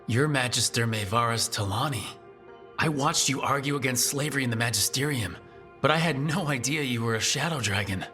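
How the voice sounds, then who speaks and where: An actor playing a young rebel hero in a fantasy game, conversational, cheeky and earnest. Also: male voice, mid-range voice, fairly quick, sharp tone, soft background music, close to an everyday voice. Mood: surprised. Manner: flippant, conversational.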